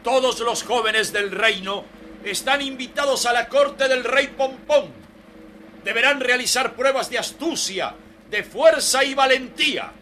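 Royal herald crying out a decree, super high n piercing, bit of noise. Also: male voice, bright, forceful tone, exaggerated voice. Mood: proclaiming. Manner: declamatory, drawn-out proclaiming.

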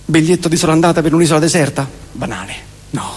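A Roman man in his forties delivers a comic theatre monologue in a fairly high voice. He leans on repetition and deliberate pauses, and his words ring out with the long reverb of a live hall. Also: slightly rough. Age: 40s. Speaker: stage performer.

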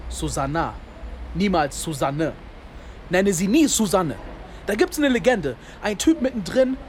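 A man's voice: high voice, lively intonation, a little slow, a little hiss or hum. A man playing a street-smart narrator in a radio drama, telling a gritty story in rhythmic, staccato phrases. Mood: animated storytelling. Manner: rhythmic, staccato spoken-word delivery in short phrases. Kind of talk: scripted radio drama narration in second person. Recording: loud music bed, urban street sounds.

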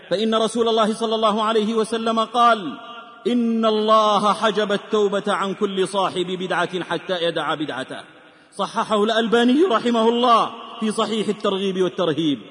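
High-pitched man preaching in long reverb. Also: a bit bright. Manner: solemn, emotive, declamatory. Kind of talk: dramatic sermon with storytelling, rhymed exhortations and verse. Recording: long reverberant hall-like echo on voice.

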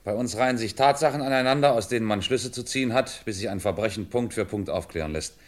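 An actor playing a methodical, probing police commissioner in a German radio crime drama, measured and wryly superior. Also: male voice, on the low side, close to an everyday voice.

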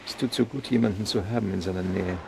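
An actor playing a lonely, wistful romantic in a German radio drama, speaking in a halting, florid way. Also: male voice, low-pitched, steady tone, gravelly, darkish tone, slight background noise. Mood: yearning, earnest. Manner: wistful, halting, given to Latin and French phrases. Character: lonely, wistful man, romantic and talkative suitor.

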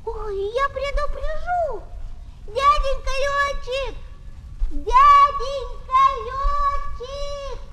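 A woman's voice: very high voice, very slow, very noisy, heavily acted. A woman playing an eager, curious little boy in a vintage children's audio play. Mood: curious, excited. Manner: wide-eyed, eager, exclaiming. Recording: vintage 1970s flexi-disc with music.

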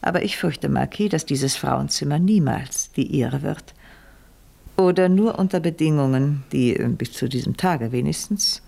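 Low, husky, haughty noblewoman. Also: dark tone. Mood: cool, calculating. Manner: formal, measured, imperious irony. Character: scheming, haughty aristocratic lady, coolly ironic and controlled. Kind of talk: 1970s German radio play acting.

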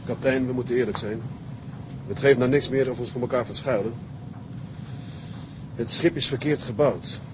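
An actor playing a dutiful, frank first mate in a radio drama, speaking in a firm, businesslike way. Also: male voice, normal speed, long pauses, close to an everyday voice. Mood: grave, subdued. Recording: loud engine rumble behind the voice.

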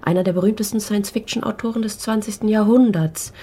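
A woman playing a dutiful, matter-of-fact assistant in a German radio play, reciting facts in a husky voice. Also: medium pitch, steady tone, fast talker, warm tone, lightly acted. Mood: factual.

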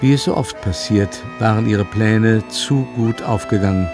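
A male narrator reads literary prose for a radio play. His voice sits low, and music plays clearly behind him as he tells the story. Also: normal speed.

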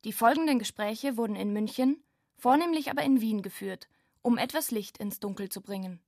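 A woman's voice: a little high, a little fast, a little mellow, no room sound, studio quality. A woman reading scripted narration for a radio feature.

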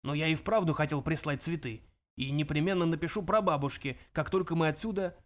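A flustered young space adventurer, voiced by a male reader, a little high in pitch. He is flippant yet hesitant and fidgety, pleading his way through a storybook scene. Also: a little fast, natural voice. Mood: sheepish.

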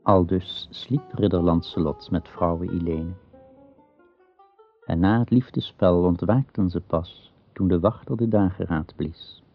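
Deep gravelly guy narrating an old radio play, slow n solemn, kinda muffled. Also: faint music behind. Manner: measured, solemn. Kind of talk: scripted radio drama narration, archaic storytelling. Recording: dull lo-fi old radio recording.

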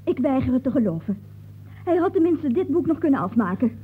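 Female, emphatic romantic-novel heroine, high and very lively, vintage radio drama, tinny sound. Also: fairly quick. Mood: insistent. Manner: emphatic, theatrical. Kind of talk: old radio play acting. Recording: thin, noisy old radio recording.

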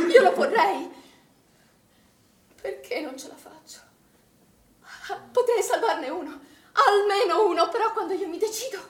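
An actress playing a troubled, grief-haunted middle-aged woman in an Italian stage drama, with anguished, heavily paused delivery. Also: very high voice. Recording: distant echoey stage recording with background noise.

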